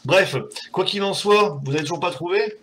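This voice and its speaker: A man's voice: high-pitched, normal speed, fairly bright tone. A man hosting a casual live stream from home, rambling and self-mocking with many fillers, his keyboard audible on the desk mic. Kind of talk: casual live chat with viewers. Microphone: desk mic, typing audible.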